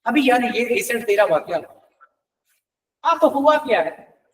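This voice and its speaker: A man with a very high-pitched voice and a Pakistani accent teaches law to a class. He sounds conversational, switching into English for legal terms and posing rhetorical questions to check understanding. The microphone picks him up from a distance. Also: animated, unhurried. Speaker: law lecturer. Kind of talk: classroom lecture explaining legal articles, mixing Urdu with English terms.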